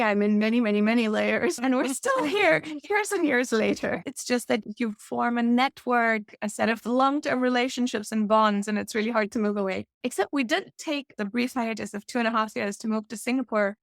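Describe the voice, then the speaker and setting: A woman's voice: a little high, normal speed, vocal fry, few pauses, no room sound, studio quality. A woman in her forties from India, telling her story as a podcast guest in a reflective, conversational way. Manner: warm, reflective storytelling with occasional laughs. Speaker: guest, author and product leader. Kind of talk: casual interview answers.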